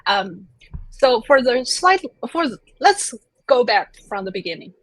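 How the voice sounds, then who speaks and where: A woman's voice: on the high side, big pitch swings, unhurried, no room sound, rough recording. A woman in her thirties from China, a native Chinese speaker, telling her life story in a chatty, self-deprecating way as an interview guest. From China (Chengdu). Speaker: interview guest, plant scientist.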